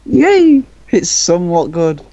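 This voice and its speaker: A young man bantering casually with friends, blustery and quick to interject. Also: high voice, sing-song, warm tone, dry recording. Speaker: amateur author reacting to his story. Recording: dull, lo-fi voice chat.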